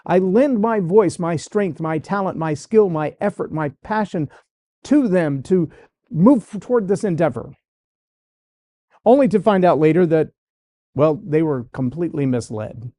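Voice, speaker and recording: Guy hosting a leadership podcast, kinda high voice but mellow, stacks up his points, dry close sound. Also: animated, measured pace, no room sound. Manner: emphatic, repeats phrases in building lists. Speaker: podcast host.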